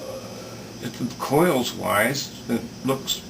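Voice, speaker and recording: A man giving a casual, unscripted technical explanation in a halting, thoughtful way, thinking aloud as he demonstrates repair work. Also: mid-range voice, animated. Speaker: repair video maker demonstrating electronics work. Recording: distant mic with clear background noise.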